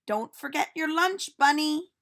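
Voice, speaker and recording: A woman's voice: sits high, lively intonation, voice pushed up. A woman voicing a cheerful, silly schoolteacher from a children's storybook, calling out announcements emphatically. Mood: bright, announcing. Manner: loud, emphatic announcing, drawn-out words. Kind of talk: storybook read-aloud for children.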